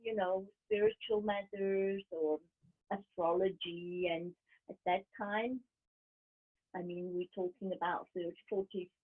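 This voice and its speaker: A middle-aged woman whose native language is German, a medium speaking as an interview guest over a video call in a thoughtful, halting way, often restarting and laughing softly. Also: on the low side, animated, breathy edge. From Switzerland. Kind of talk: casual interview. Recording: tinny video call line.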